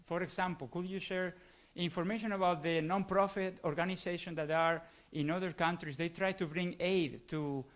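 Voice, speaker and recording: A man in the audience posing a long question in a formal, halting way, often searching for words. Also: high voice, fairly even intonation. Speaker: audience member asking a question.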